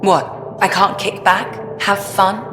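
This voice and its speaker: A woman's voice: mid-range voice, level tone, slow pace, reverberant, music in the background, natural voice. A woman playing a defensive, brooding ship's doctor in video game dialogue, dry and sardonic.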